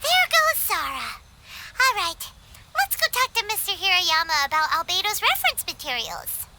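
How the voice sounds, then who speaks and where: A woman's voice: very high-pitched, very animated, moderate pace, noisy background, full character voice. A woman voicing a tiny childlike fairy companion in a video game, chirpy and inquisitive, full of exclamations. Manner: exclaiming, refers to self in third person.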